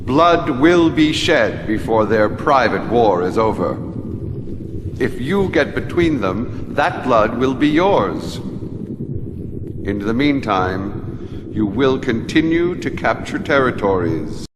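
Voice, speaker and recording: A man playing a shrewd, ominous advisor in a video game briefing, speaking in a measured, portentous, theatrical way. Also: medium pitch, very animated. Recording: noisy, long hall reverb.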